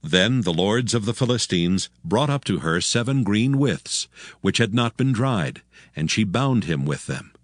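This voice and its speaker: A man's voice: fairly low pitch, expressive, a little slow, no echo, studio quality. A man narrating scripted scripture as the storyteller of a dramatized Bible audio production.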